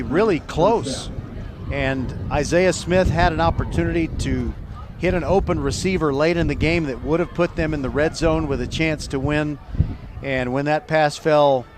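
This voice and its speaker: A man calling live high school football play by play, describing each play in detail. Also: noisy background. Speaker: play-by-play sports announcer.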